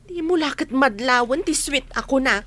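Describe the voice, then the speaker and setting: A woman's voice: high-pitched, moderate pace, fairly bright tone. A woman playing a brazen, stubborn gossip in an audio drama, arguing insistently and theatrically. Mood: nosy, accusing. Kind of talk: Filipino audio drama acting.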